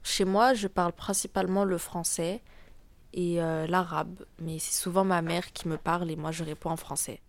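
A teenage girl from France with a French accent, answering interview questions casually and pausing often. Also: fairly low pitch, steady tone, no echo. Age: teens. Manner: hesitant, many pauses with "euh".

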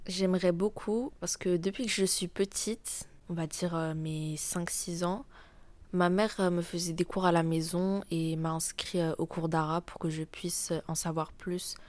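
A teenage girl with a French accent answers questions in a low voice, pausing often with small hesitations. The recording is dry and close. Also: steady tone, darkish tone, dry recording. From France. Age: teens. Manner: hesitant, many pauses with "euh". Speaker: interviewee. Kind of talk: casual interview answers.

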